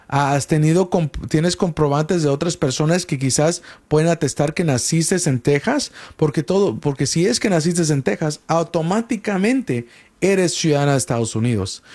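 A man's voice: fairly high pitch, darkish tone. Man explaining as a call-in lawyer. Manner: explanatory, asks many questions, often restarts words. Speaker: immigration lawyer hosting a call-in show. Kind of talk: radio call-in legal advice.

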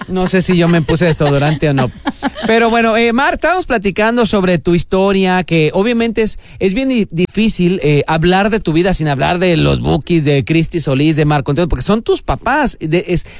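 A man's voice: sits high, lively intonation, moderate pace. A male morning radio host, playful and chatty as he interviews a guest. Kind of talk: morning radio show banter.

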